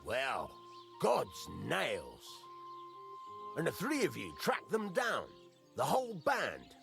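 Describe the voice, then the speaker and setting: An actor playing a gruff, fatherly middle-aged master blacksmith in a medieval video game, blunt and folksy, teasing as he talks. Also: mid-range voice, huge pitch swings, takes it slowly, over music, heavily acted. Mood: gruff, relieved. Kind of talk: video game dialogue acting.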